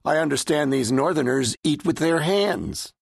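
An actor playing a gruff, irreverent sea captain with rustic, colloquial speech and a deadpan wit, in an audiobook. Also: male voice, fairly high pitch, normal speed, dry recording, heavily acted. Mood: dry teasing. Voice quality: gravelly, husky. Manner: rustic, colloquial grammar, dry teasing. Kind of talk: full-cast audiobook character acting.

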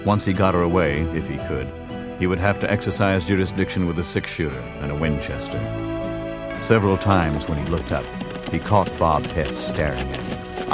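A man reading scripted, dramatic narration for a western audiobook. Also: very deep voice. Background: music and horse hoofbeats.

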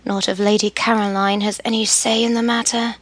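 A woman voicing Emily, an anxious, outspoken young woman, in a soft, breathless, higher voice while reading a story. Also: little pitch movement, brisk, breathy edge, natural voice.

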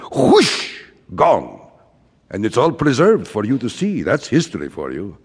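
Wistful rambling father, very animated. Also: medium pitch, breathy edge. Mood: eager, then wistful, lamenting. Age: middle-aged. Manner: rhetorical, repetitive, philosophizing. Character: wistful, rambling immigrant father, a frustrated commercial traveler. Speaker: actor in a stage-style audio drama. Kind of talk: theatrical audio drama acting. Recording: reverberant hall stage recording.

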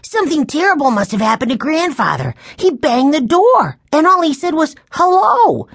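A woman's voice: high voice, wildly swooping pitch, a little slow, vocal fry, fairly bright tone, slightly rough, dry recording, pitched above the performer's own voice, full character voice. A woman voicing a worried, eager little boy in a children's audiobook, blunt and exclaiming. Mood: agitated, emphatic.

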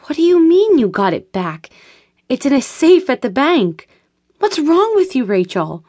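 A woman's voice: fairly high pitch, animated, slow, a bit bright, dry recording, studio quality, pitched above the performer's own voice, full character voice. A woman reading an audiobook voices an indignant, protective friend who speaks firmly and with emphasis. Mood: alarmed.

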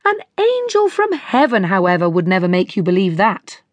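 A woman's voice: medium pitch, huge pitch swings, moderate pace, no echo. A woman voicing a patient, respectful young male apprentice. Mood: calm.

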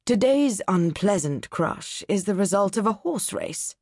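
A woman's voice: medium pitch, lively intonation, measured pace, a little mellow, no echo, studio quality. A woman voicing a lofty, pompous male Cambridge scholar who lectures and disapproves. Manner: condescending, pompous.

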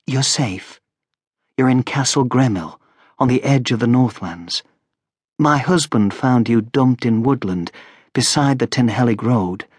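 A man's voice: mid-range voice, measured pace, husky, no echo, clean studio sound. A man voicing a kindly, gracious noblewoman in an audiobook, with a soothing and polite manner. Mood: soothing, concerned. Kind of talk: audiobook character voice.

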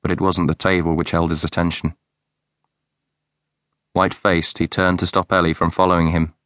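Male narrator, lowish voice, measured read, close dry studio sound. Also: dry recording. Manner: measured, even storytelling. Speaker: audiobook narrator. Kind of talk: scripted audiobook narration. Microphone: close studio mic.

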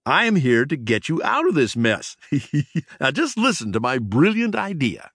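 A man voicing a brash, mischievous mouse cousin in a children's storybook, squeaky and giggly, with cocky, teasing delivery. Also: big pitch swings, measured pace, fairly bright tone, breathy edge, dry recording, clean studio sound, heavily acted. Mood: eager, scheming. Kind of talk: acted children's storybook dialogue.

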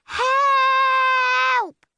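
Woman playing a high-voiced, plucky little girl. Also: monotone, very slow, sharp tone, no echo, heavily acted. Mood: frightened shouting. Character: plucky, polite but firm little girl.

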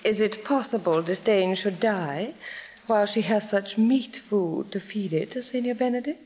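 An actress playing a sharp-tongued, scornful young lady in articulate Shakespearean stage style. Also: medium pitch, unhurried, big-room sound, close to an everyday voice. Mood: scornful, teasing. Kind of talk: theatrical Shakespearean stage acting.

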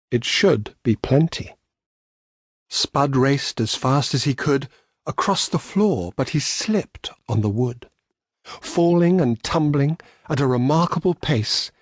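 Slow, animated man narrating a children's story. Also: mid-range voice, husky, no room sound, clean studio sound. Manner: measured storybook delivery. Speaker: audiobook narrator.

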